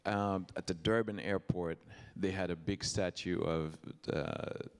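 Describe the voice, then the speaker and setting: A man from Haiti speaks in a reflective, halting way, pausing often as he searches for words. His voice is very low and carries a long reverb, as if picked up in a large hall. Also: fairly even intonation, smooth. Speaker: church member, guest.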